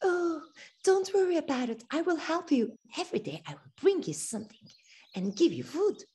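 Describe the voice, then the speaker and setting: A woman's voice: high voice, sing-song, normal speed, dark tone, pitched above the performer's own voice. A woman voicing a tiny, kind-hearted young girl in a storybook fairy tale, earnest and pleading, in non-native English. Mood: kind, reassuring.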